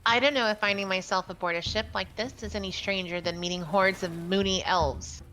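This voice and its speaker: A woman's voice: mid-range voice, steady tone, brisk, pushed and bright, slight background noise, low-quality recording. A woman co-playing on a livestream, chatting casually and reading game dialogue aloud. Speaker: livestream co-player.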